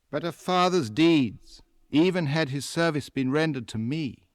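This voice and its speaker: An English man reads as a proud elven king of legend, with an English accent. He is scornful and imperious, weighing each word slowly and with cold formality, as in a storybook epic. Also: mid-range voice, dry recording, clean studio sound. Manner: deliberate, imperious, coldly formal.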